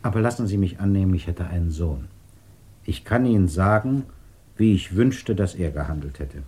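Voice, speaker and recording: An actor playing a grave, fatherly man of principle, speaking in measured, formal sentences in a 1950s radio drama. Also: deep voice, expressive, a little mellow, slightly rough. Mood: stern, measured. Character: grave, principled, fatherly interrogator with firm convictions.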